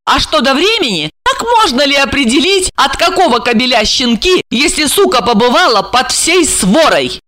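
A high, bright young woman's voice put on by a female narrator plays a spurned, hot-tempered mistress in an audiobook. She is shrill and theatrical, swinging widely between scorn and pleading. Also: big pitch swings, moderate pace, full character voice. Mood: contemptuous, spiteful. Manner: shrill, mocking, theatrically emotional.

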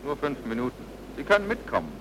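Guy playing a pushy sardonic army cop in a radio drama, clipped orders, really animated delivery. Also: mid-range voice, quick pace, noisy background, lightly acted. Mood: dry, persuasive. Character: brusque, pressing male military police officer, dryly sardonic.

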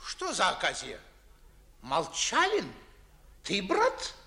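Blustering, high-pitched, grumbling old father. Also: huge pitch swings, takes it slowly, sharp tone, full character voice. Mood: suspicious. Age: older. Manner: blustering, declamatory, scolding. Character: grumbling, pompous widowed father, grey-haired government official. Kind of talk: 1950s theatrical radio play acting in verse.

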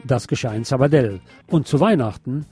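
A man presenting radio news as scripted commentary, speaking deliberately with a wry edge. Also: medium pitch, lively intonation, mellow, faint music behind.

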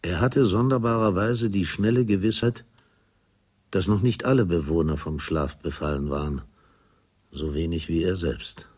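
An actor playing a grave, detached literary narrator in a radio drama, speaking in a measured, deliberate way. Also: male voice, low-pitched, steady tone, a little mellow. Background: music.

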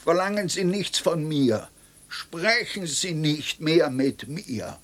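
An actress playing a wary, reluctant woman in a radio drama, speaking in curt, deliberate refusals. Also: sits low, animated, exaggerated voice. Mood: wary, refusing.

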